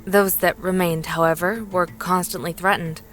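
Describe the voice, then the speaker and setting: An actress playing a composed, articulate woman investigator in an audio drama, speaking in a measured, precise way. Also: fairly low pitch, steady tone, a bit bright, soft background music, natural voice. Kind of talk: dramatic audio fiction acting.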